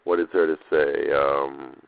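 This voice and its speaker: Male diarist, monotone and full of vocal fry, drifting through halting, casual unscripted thoughts on a muffled lo-fi recorder. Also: extremely low pitch. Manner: hesitant, repetitive, filler-heavy, loosely drifting. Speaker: audio diarist. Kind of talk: rambling unscripted audio diary.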